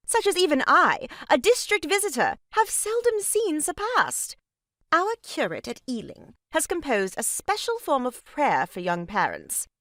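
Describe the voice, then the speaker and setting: A woman's voice: sits high, fairly bright tone, no room sound, studio quality, full character voice. A woman playing an arch, mock-pious young sister-in-law in a comic stage farce, scolding in a grand theatrical way.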